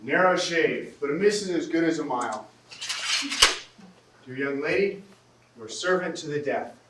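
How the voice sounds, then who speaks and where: A man's voice: fairly high pitch, normal speed, a bit bright, natural voice. A man on stage playing a weary, practical Swiss soldier in a classic comedy, wry, polite and self-deprecating. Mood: wry, courteous. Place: theatre stage, recorded from the audience. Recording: roomy, distant stage recording with hiss.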